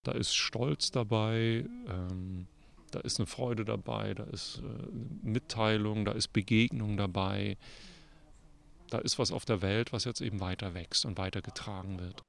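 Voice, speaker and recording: A man's voice: on the low side, fairly even intonation, unhurried, gravelly. A man being interviewed, explaining a creative project in spontaneous, reflective speech.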